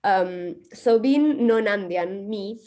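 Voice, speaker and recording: A woman's voice: mid-range voice, slow, mellow. Woman giving an academic talk. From Peru, coast. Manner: thoughtful, hedging with "like" and "we could say". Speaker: conference speaker. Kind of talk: academic presentation.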